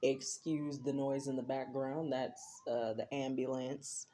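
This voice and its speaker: A woman's voice: a bass voice, little pitch movement, a little slow, dark tone. A woman, chatting to her viewers in a reflective, repetitive way. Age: 20s. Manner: reflective, chatty, repeats herself. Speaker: vlogger. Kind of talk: casual talk to camera.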